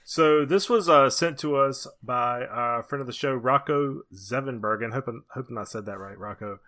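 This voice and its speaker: A man's voice: medium pitch, normal speed, pushed and bright. An American man co-hosting a podcast, reviewing movies in casual conversation. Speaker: podcast co-host.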